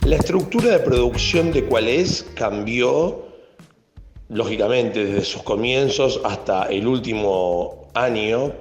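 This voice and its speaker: An Argentine radio producer, a man, recounts his memories at a very slow pace. The recording is roomy and somewhat muffled, with faint music behind him. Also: medium pitch, a little mellow. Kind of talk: recollection in an interview.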